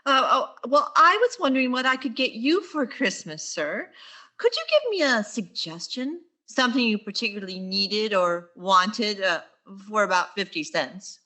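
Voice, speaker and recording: An actress playing a wry, quick-witted high school English teacher in 1940s radio sitcom style, with dry sarcastic asides. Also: female voice, sing-song, bright, forceful tone. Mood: dryly resigned.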